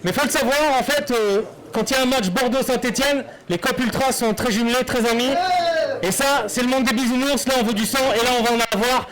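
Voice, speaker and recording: A man's voice: very high-pitched, fairly quick, a bit bright. A man hosting a live rap battle, announcing the fighters and hyping the crowd. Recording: noisy event recording from a video.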